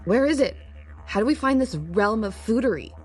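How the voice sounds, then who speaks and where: A woman's voice: fairly high pitch, lively intonation, normal speed, darkish tone, lightly acted. A woman playing a practical, assertive young traveler, matter-of-fact and natural, in a science fiction audio drama. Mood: persuasive.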